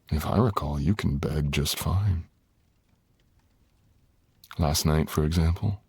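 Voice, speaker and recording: Man playing a very deep, gravelly dragon lord. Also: no room sound, exaggerated voice. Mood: teasing, seductive. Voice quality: very deep, gravelly, husky, often breathy.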